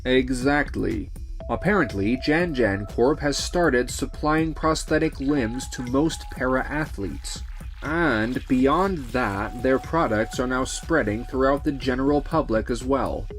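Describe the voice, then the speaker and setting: A man's voice: mid-range voice, slow pace, soft background music. A man playing an earnest, courteous businessman in a manga dub. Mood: grave, concerned. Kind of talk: manga dub character acting.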